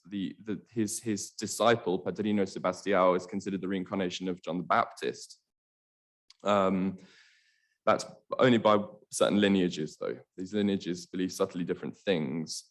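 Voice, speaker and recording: Male lecturer, Estonian native speaker, lowish voice, hesitant delivery on a video call. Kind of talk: online academic lecture.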